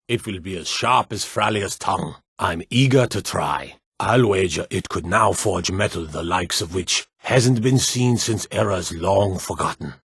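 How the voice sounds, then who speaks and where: A proud old smith from a fantasy video game. His voice sits fairly low and has a rough, husky grain. He speaks plainly and with weight, gruff but measured. The recording is dry, with no room sound. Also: no echo, exaggerated voice. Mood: quietly awed. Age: older. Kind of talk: fantasy game character dialogue.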